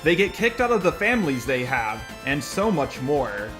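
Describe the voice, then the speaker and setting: A man hosts a scripted video commentary in a fairly high voice. His pitch moves around in an animated way, with faint music underneath. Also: moderate pace. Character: persona of a robot. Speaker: host.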